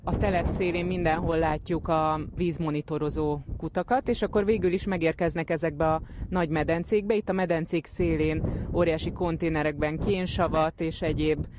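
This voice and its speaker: Hungarian guy reporting on location, highish voice, clear vehicle noise outside. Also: fairly even intonation. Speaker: field reporter. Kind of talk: on-location description and questions. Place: outdoors walking around a landfill.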